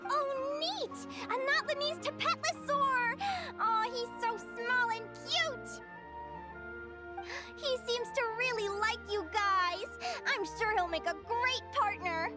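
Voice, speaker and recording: A woman playing a gentle, earnest young fae girl in a video game, speaking sweetly. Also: very high voice, slow. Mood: bright, delighted. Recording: soft music behind.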